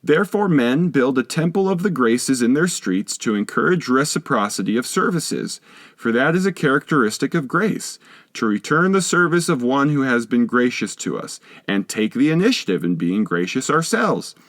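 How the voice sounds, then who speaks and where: A man reading a philosophical text aloud as an audiobook narrator, in a measured, didactic manner. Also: medium pitch, sing-song, a bit bright, no room sound, studio quality. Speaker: audiobook reader.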